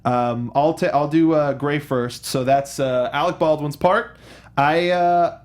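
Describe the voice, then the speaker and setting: Man chatting casually, slightly higher voice. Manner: halting, repeats himself. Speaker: co-host of a movie discussion show. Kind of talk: casual chat.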